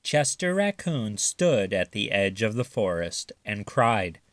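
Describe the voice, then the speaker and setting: A man narrating a children's story aloud with careful, expressive delivery. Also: lowish voice, takes it slowly, no echo. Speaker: storybook reader.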